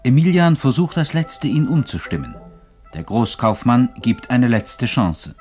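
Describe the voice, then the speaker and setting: A man's voice: mid-range voice, very animated, unhurried, over music. A man narrating scene summaries for a radio drama in a scripted announcer's delivery.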